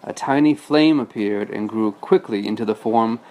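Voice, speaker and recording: A man's voice: on the low side, normal speed, mellow, low-quality recording. A man reading a storybook aloud as a father, patiently breaking off to explain things casually to a child.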